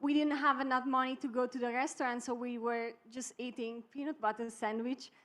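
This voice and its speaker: A woman's voice: on the high side, flat delivery, a little fast, a bit bright. A woman in her twenties from Europe telling her career story as a guest speaker. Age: 20s. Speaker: summit guest, network marketer. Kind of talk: testimonial storytelling.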